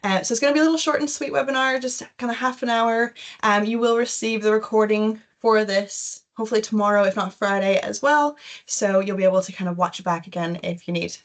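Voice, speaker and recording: A woman's voice: mid-range voice, fairly quick. A young adult woman with a Canadian accent, presenting an informal webinar in a chatty, loosely phrased way. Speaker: webinar host, education recruitment consultant.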